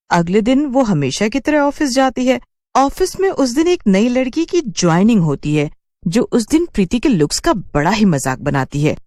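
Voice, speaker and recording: A woman's voice: mid-range voice, a little mellow, dry recording, clean studio sound. A woman telling a scripted cartoon story as its narrator.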